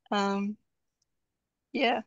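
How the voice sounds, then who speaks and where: A woman's voice: mid-range voice, no echo, dull audio. Hesitant young woman on a call. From Austria. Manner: hesitant, many ums. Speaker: student presenter. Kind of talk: online video call presentation. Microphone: video call.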